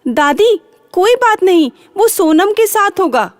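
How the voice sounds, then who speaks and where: A woman's voice: very high-pitched, sing-song, unhurried, no room sound, clean studio sound, pitched above the performer's own voice, exaggerated voice. A woman voicing an earnest young boy in a children's story, speaking in a polite, coaxing way. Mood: reassuring. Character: earnest young boy pleading with his grandmother.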